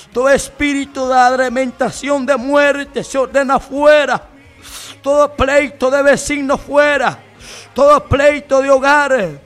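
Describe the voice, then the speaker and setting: A man prays fervently with an extremely high-pitched voice. He pleads in short, repeated exclamations at a slow pace, in the style of Pentecostal intercession. Faint music plays behind him. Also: a bit bright. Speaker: prayer leader.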